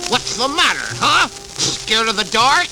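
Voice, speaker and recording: A man's voice: very high voice, big pitch swings, unhurried, heavily acted, rough recording. A man voicing a grumpy, scheming cartoon cat with a slobbery lisp and blustery delivery on a vintage children's record. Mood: sly, doubtful. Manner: blustery, exclamatory. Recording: vintage 78rpm record with music.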